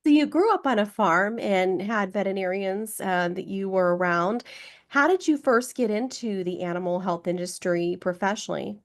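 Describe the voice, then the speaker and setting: A woman's voice: medium pitch, normal speed. A woman hosting a podcast, reading a polished introduction and asking her guest questions. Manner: polished, upbeat delivery. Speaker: podcast host. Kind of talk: scripted intro read, then interview questions.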